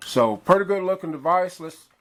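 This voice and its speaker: Relaxed man reviewing a gadget. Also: sits high, moderate pace, a little hiss or hum. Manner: relaxed, rambling, frequent uhs. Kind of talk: casual product review.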